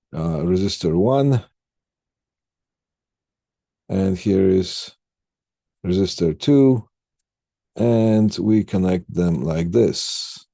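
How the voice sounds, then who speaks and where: A man with a dark, husky voice teaches a technical class in a close, dry sound. He explains things informally, one step at a time, halting as he goes and checking in with students. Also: sits low, no echo. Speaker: instructor. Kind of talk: live technical lecture with questions to students.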